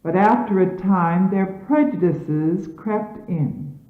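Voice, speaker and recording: A woman reading scripture as a solemn sermon in measured, emphatic, formal diction, on an archival recording from the 1970s. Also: mid-range voice. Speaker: religious leader reading scripture aloud. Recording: phone-like, echoey 1970s archival tape.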